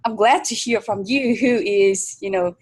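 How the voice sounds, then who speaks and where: Slow-talking woman interviewer. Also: medium pitch, slightly rough. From Vietnam. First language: Vietnamese. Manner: hesitant, many fillers. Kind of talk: conversational interview.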